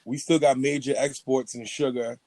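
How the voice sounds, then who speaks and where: Young male podcast co-host, rambling and playful, medium pitch, dry sound. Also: steady tone, moderate pace, dark tone, no room sound. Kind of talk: casual chat.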